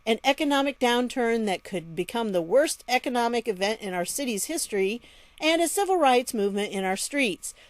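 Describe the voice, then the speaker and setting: A woman's voice: a little high, dry recording. An American woman giving casual news commentary, reading articles aloud in a wry, informal way with chatty asides. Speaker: online commentary host.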